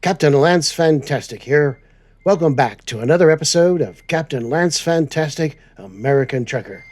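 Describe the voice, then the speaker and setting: A man's voice: on the high side, lively intonation, normal speed, a little mellow. Upbeat playful man hosting. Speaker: show host in trucking persona. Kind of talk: semi-scripted trucking show.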